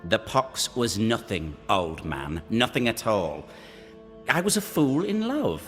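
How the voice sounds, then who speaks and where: A man voicing the flirtatious, deluded ghost of a sickly, lovesick gentleman in a theatrical, singsong video game performance. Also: on the low side, big pitch swings, slow pace, exaggerated voice. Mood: defensive, dismissive. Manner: theatrical, singsong, repeating phrases. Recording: long echoing reverb, music underneath.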